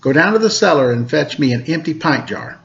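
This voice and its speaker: A man's voice: a little high, expressive, brisk, creaky, a bit bright, lo-fi sound. A man reading the part of a practical, teasing, plain-spoken mother in a storybook. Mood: brisk, chiding.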